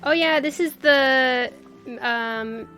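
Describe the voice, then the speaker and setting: Woman streamer, higher voice, slow-paced, casual gameplay commentary, playful exclamations, music behind. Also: pushed and bright. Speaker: video game streamer.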